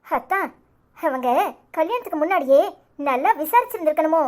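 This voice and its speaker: A woman's voice: high voice, expressive, fairly quick. A woman voicing a concerned, sensible young village woman, sympathetic and advising in a cartoon drama.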